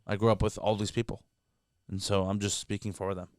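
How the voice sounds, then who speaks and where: Fast-talking comedian, swears casually. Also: male voice, on the low side, little pitch movement, a little mellow, clean tone, no echo, studio quality. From Canada. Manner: rapid, candid, profane, heavy filler words. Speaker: guest comedian. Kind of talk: casual podcast chat.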